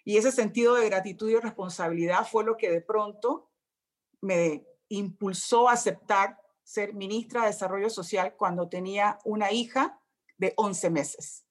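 A woman speaking on a panel, measured and heartfelt. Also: mid-range voice. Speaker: panelist, former minister. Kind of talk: panel discussion remarks.

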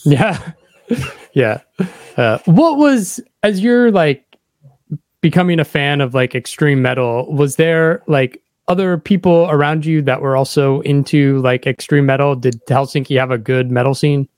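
A man with a fairly high voice, captured close and dry with hardly any room sound. He interviews in a loose, informal way, as a podcast host chatting casually. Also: measured pace, darkish tone, dry recording.

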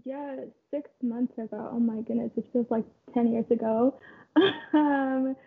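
A young woman in her twenties, originally from Kenya, telling her story as an interview guest in a relaxed way, with many fillers. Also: on the high side, a little slow, vocal fry, breathy edge. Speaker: guest, photographer and community founder. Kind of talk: conversational interview. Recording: tinny phone-like line.

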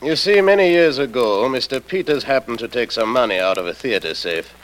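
An actor playing a smug, knowing theater producer in an old radio drama, measured and insinuating. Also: male voice, mid-range voice, expressive, close to an everyday voice. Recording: noisy old radio play recording.

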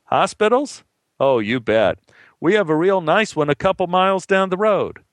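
A wisecracking American patrol cop as a man telling a story puts him on, folksy and deadpan. The voice sits higher, as if calling across to someone. The sound is dry and close. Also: moderate pace, no echo. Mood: falsely friendly. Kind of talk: acted dialogue within a told story.

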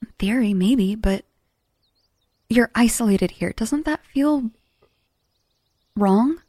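A woman's voice: a little high, slow pace, warm tone, no echo, clean studio sound. An actress playing a blunt, troubled, searching woman biographer in naturalistic sci-fi audio drama dialogue. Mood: probing.

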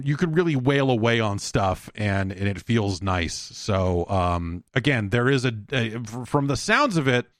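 A man with a mid-pitched voice hosts a casual solo podcast, recorded close and dry. He rambles conversationally, slipping in wry asides and playing out little imagined back-and-forths. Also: dry recording. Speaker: podcast host. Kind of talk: casual solo video game podcast talk.

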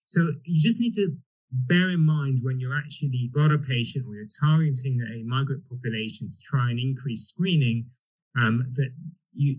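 A man originally from Egypt, a doctor giving a measured medical presentation with frequent fillers. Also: medium pitch, slightly rough, rough recording.

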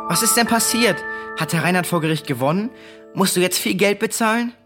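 A woman plays a concerned kid in a children's audio drama, asking questions candidly. The voice sits at a middling pitch, with faint music behind. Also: a little fast, a bit bright, close to an everyday voice. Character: curious, concerned child in a group of friends. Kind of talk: German children's radio play acting.

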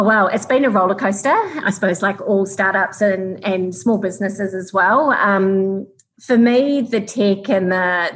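A woman's voice: mid-range voice, breathy edge. A woman with a New Zealand accent, answering conversationally as an interview guest who farms and founded a tech business.

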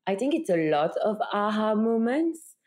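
A woman's voice: mid-range voice, big pitch swings, normal speed, a little mellow. A woman from Belgium with a Belgian accent, answering interview questions as a brand founder in a conversational, explanatory way, often posing rhetorical questions.

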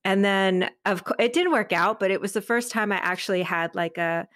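A middle-aged woman speaks as a guest in a relaxed, informal chat. Her voice sits at an average pitch, and she tells personal anecdotes in an animated way. The sound is dry, with very little room on it. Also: brisk, no echo. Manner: animated storytelling, acting out quoted remarks; informal, peppered with "like". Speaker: podcast guest.

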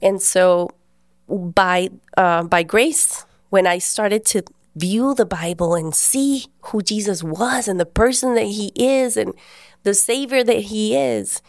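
A woman's voice: medium pitch, slow, no echo, studio quality. A woman, hosting a podcast and telling a personal faith story in an earnest, conversational way. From Guatemala. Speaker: podcast host.

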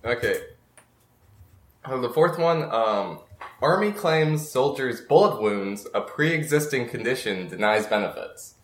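A man co-hosts a comedy podcast, presenting strange news with deadpan one-liners. His voice sits mid-range but moves a lot in pitch. The room around him is echoey. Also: a little slow. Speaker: podcast co-host presenting stories. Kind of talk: casual comedy podcast chat.

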